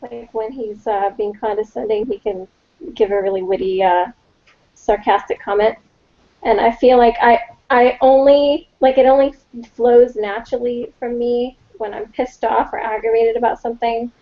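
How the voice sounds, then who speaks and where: A woman chatting casually as a review panelist on a video call, speaking in a halting, reflective way. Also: a little high, fairly even intonation. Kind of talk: casual chat. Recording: dull lo-fi video call audio.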